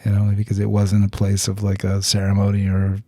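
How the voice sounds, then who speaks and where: A man with a low, gravelly, very dark voice, hosting a podcast and telling a personal story in an earnest, searching way. He restarts his sentences often and throws in blunt swearing. His tone is husky and the sound is close and dry. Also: monotone, a little fast, dry recording. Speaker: podcast host. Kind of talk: candid storytelling in conversation.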